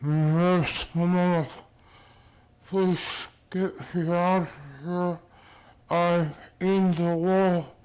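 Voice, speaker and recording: A woman's voice: lowish voice, little pitch movement, extremely slow, long pauses, darkish tone, clear, resonant tone, low-quality recording. A woman playing an eerie, pleading figure trapped inside a wall in a radio play.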